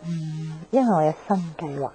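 A woman's voice: low voice, normal speed, mellow, close to an everyday voice. An actress playing a restless young woman in a radio drama, talking in an insistent, explaining way. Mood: casual, hesitant. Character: restless young woman friend craving a new life. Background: faint background music.

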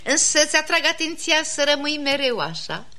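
A woman's voice: high-pitched, big pitch swings, bright, forceful tone, heavily acted. An actress playing a protective, well-bred aunt in a theatrical radio comedy, polite and knowing as she cautions. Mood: knowing, cautioning. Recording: vintage radio play, faint noise.

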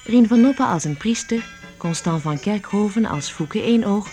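A woman's voice: medium pitch, expressive, normal speed, warm tone, soft background music. A woman narrator reading scripted credits for a radio play in a formal announcement.

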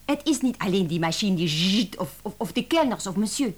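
An actress playing an excitable, principled young French cook with a French accent, dramatic and indignant, in a radio drama. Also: female voice, medium pitch, brisk, close to an everyday voice. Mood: scandalised, confiding. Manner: dramatic, indignant, sprinkles speech with French exclamations.

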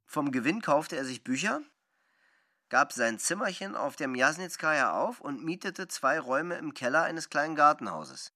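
A man narrating a literary radio drama in an animated storytelling style. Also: fairly high pitch, normal speed, dry recording, studio quality.

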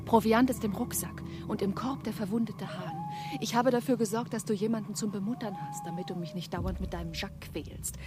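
An actress playing Eva, a young wife torn between two men, in a radio play, speaking intensely and often in a hushed whisper, with music behind. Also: female voice, mid-range voice, a little fast, lightly acted. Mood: urgent. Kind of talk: German radio play acting.

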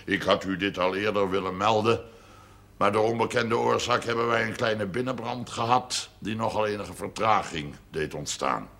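TV drama role: pompous, scheming farmer, forceful gravelly delivery, wordy and formal. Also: male voice, on the low side, fairly even intonation, normal speed. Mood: pompous, solemn. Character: shrewd, self-important wealthy farmer making pious bargains. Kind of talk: television drama acting.

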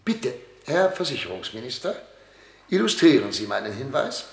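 An actor playing a pompous, fretful head of state in a German radio play, speaking in an oratorical, theatrical way. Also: male voice, mid-range voice, sing-song. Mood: needling, commanding. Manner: pompous, oratorical, theatrical throat-clearing and pauses. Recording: roomy, reverberant radio studio sound.

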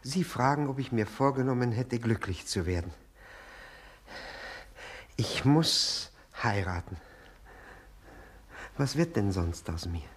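An actor playing a servile, obsessive, self-abasing widower in a 1960s radio drama, halting and pleading, repeating his words. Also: medium pitch, expressive, slightly rough. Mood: pleading, agitated. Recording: 1960 radio play recording.

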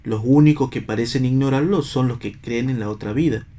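A man's voice: mid-range voice, brisk, warm tone, natural voice. An Argentine man playing a bitter, idealistic, disillusioned stage actor in a radio theatre reading.

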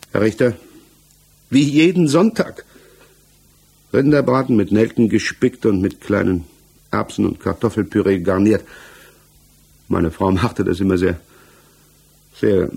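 A man acting as an uneasy accused villager under questioning in a 1960s German radio drama, giving halting, guarded answers. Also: lowish voice, vocal fry, darkish tone, slightly rough, exaggerated voice. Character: male accused villager under interrogation, subdued, evasive, uneasy. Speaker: actor in a radio crime drama.

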